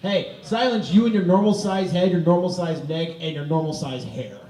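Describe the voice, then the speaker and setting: A man's voice: on the high side, moderate pace. A man on a fan convention panel, ribbing the others in playful banter. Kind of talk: casual banter. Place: large convention hall with audience. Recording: echoey hall, far off, crowd noise.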